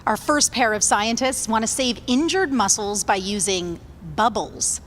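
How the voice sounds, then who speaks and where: A woman's voice: on the high side, measured pace, a bit bright, a little hiss or hum. A woman hosting an event, reading a scripted, upbeat announcement. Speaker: event host.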